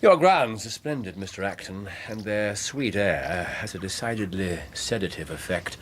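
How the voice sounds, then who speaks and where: An actor playing a brilliant, aloof English detective in a radio drama, precise and dry, with a wry theatrical manner. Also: male voice, fairly low pitch, expressive, normal speed. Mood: dryly courteous.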